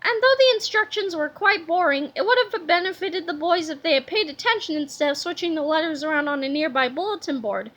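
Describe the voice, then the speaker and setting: A woman reading a children's book aloud with expressive storytelling. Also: very high-pitched, fairly quick, hardly any pauses. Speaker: storybook reader.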